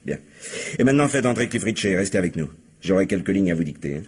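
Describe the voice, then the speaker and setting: An actor playing a bossy, hearty film studio boss with a rough voice, patronizing, in a French radio crime drama. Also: male voice, sits low, lively intonation, fast, darkish tone. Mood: brisk, bossy. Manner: hearty, chuckling, patronizing, commanding.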